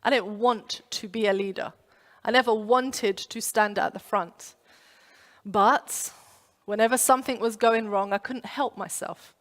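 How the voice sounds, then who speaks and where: A woman's voice: mid-range voice, measured pace. Woman preaching in a reverberant hall. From London. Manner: animated storytelling with acted-out inner voices. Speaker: pastor. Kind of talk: youth sermon. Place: church.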